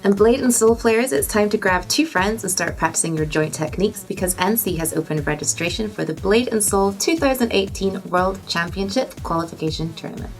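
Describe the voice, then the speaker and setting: A woman's voice: mid-range voice, a little fast, few pauses, faint music behind, rough recording. A woman presenter reading scripted gaming and esports news.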